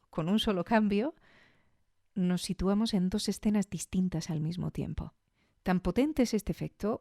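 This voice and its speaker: A woman's voice: lowish voice, vocal fry, mellow, no echo, clean studio sound. A woman with a Spain Spanish accent hosting a podcast, reviewing audio fiction in an informal, reflective, conversational way. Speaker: podcast host.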